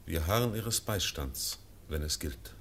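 A solemn, consoling companion. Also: male voice, low voice, animated, a bit bright, a little hiss or hum, full character voice. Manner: consoling, measured verse.

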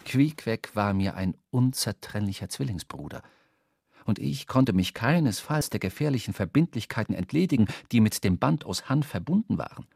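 A man narrating a literary radio drama from a script, storytelling in measured, formal diction. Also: lowish voice, lively intonation, vocal fry, darkish tone, husky, no room sound. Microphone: close studio microphone.

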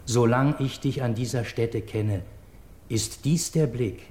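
An actor playing a loyal, reverent royal messenger, declaiming classical radio verse in a formal, earnest manner. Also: male voice, takes it slowly, a little mellow, close to an everyday voice. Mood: earnest, concerned. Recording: echoey, noisy radio recording with rumble.

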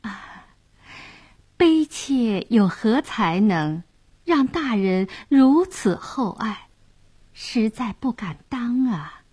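A woman's voice: a little high, animated, extremely slow, darkish tone, breathy edge, exaggerated voice. Woman acting a coy, sweet young fishwife, lilting. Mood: bashful. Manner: sweet, bashful, deferential. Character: coy, flattering young fishwife, secretly cunning.

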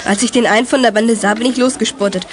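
A tough, impulsive teenage boy played by a woman in a children's radio play. The voice is high and earnest, telling things in short bursts over noisy ambience. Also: fairly even intonation, quick pace, close to an everyday voice. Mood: urgent.

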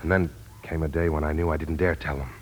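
An actor playing a wary, troubled amnesiac war veteran who narrates in a terse, hardboiled 1940s radio style. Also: male voice, a bass voice, fairly even intonation, fast talker. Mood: brooding. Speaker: lead actor and first-person narrator. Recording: 1940s radio broadcast with music.

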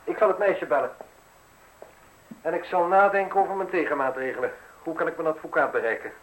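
A man's voice: a little high, a little fast, lightly acted, rough recording. Curt, sardonic newspaper man played by an actor. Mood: sardonic, brisk. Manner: curt, dry, ironic. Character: proud, sardonic male newspaper publisher facing arrest. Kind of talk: radio play acting.

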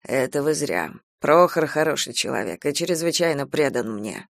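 A man's voice: medium pitch, lively intonation, normal speed, creaky, fairly bright tone, dry recording, clean studio sound, pitched below the performer's own voice. A man voicing a weary, well-off uncle who grumbles in a restrained, reproachful way. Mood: worried.

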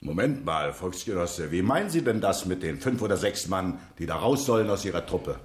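A man's voice: deep voice, big pitch swings, fairly quick, reverberant. An actor in a radio drama playing an aggressive, fanatical Nazi party man who interrogates and bullies. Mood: suspicious probing. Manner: interrogating, bullying. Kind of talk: German radio drama acting.

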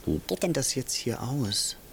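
An actor playing a polite, slightly awkward visitor in naturalistic radio play dialogue. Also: male voice, medium pitch, sing-song, unhurried, creaky, heavy background noise.

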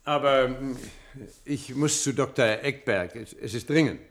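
An actor playing an uneasy, reluctant professor in a German radio play, hesitant and guarded in manner. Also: male voice, medium pitch, a little slow, natural voice. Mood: flustered, hesitant. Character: uneasy, reluctant male professor visiting an institution.

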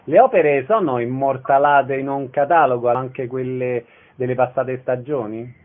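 A man's voice: medium pitch, measured pace. A man hosting a radio interview, putting brief questions and giving introductions. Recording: narrow phone-like radio sound.

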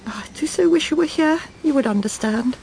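A woman's voice: sits high, animated, brisk, darkish tone, a little hiss or hum. An actress playing a proper, self-satisfied middle-aged wife and mother in a radio drama, prim and clipped, anxiously reassuring herself. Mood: musing, uneasy. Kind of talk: radio drama acting, dialogue and inner monologue.

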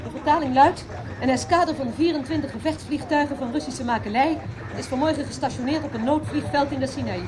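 An actress in a radio drama playing a signals operator relaying messages in a businesslike, precise manner. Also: female voice, high-pitched, fairly quick, natural voice. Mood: urgent, factual. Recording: clear background noise.

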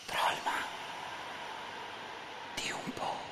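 A man acting a furtive male gossip passing on ominous news in a breathy, conspiratorial, halting whisper, in a radio play. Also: sharp tone. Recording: long hall reverb.

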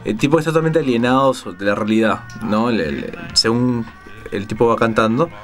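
A man with a Rioplatense accent talks casually about music, as a presenter would. His pitch is average, and he wanders through his explanations, thinking aloud. Music plays softly behind him. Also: lively intonation, brisk. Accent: Rioplatense Spanish. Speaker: radio music show presenter.

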